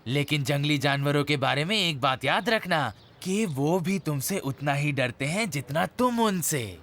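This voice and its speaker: A man's voice: on the high side, animated, unhurried, fairly bright tone. A man voicing a jovial, teasing old grandfather zebra in a children's cartoon, with theatrical storytelling. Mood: playful storytelling. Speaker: voice actor. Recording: music behind the dialogue. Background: music.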